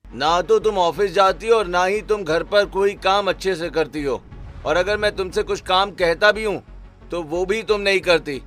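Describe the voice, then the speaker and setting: A man's voice: high voice, normal speed, fairly bright tone, soft background music, pitched above the performer's own voice. A man voicing a dismissive, irritable husband in a cartoon story, talking down to his wife. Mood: scornful. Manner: scornful, raised voice.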